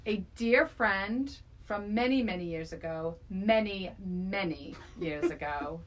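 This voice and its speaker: A woman's voice: medium pitch, takes it slowly. A middle-aged woman co-hosting a podcast in a casual, chatty style. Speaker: podcast co-host. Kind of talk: casual chat.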